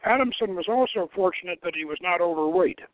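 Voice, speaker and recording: A man hosting a radio show, giving a dramatic reading of satirical fiction in a halting way, stumbling and rereading phrases. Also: high-pitched, animated, no room sound. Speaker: radio show host, author reading own novel. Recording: thin phone-like radio line.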